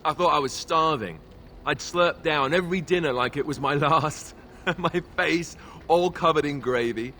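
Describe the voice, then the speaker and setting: An actor playing a wry, boyish, self-deprecating young warrior in a video game, speaking in a conversational, sardonic way. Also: male voice, a little high, moderate pace, sharp tone, slight background noise. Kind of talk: video game character dialogue.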